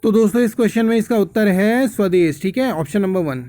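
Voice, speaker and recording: A man's voice: high-pitched, fast talker, warm tone. A man giving a casual, instructive explanation to viewers, mixing in English words and often checking that they follow. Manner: instructive, repeatedly checks with "okay?". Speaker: quiz-prep tutor, channel host.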